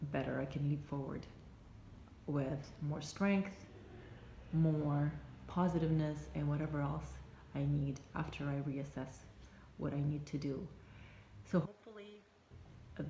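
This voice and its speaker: A native Russian-speaking woman with a Russian accent, giving reflective advice to camera in a halting, thoughtful way with pauses mid-sentence. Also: low voice, warm tone. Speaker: life coach and vlogger. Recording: roomy echo, faint background noise.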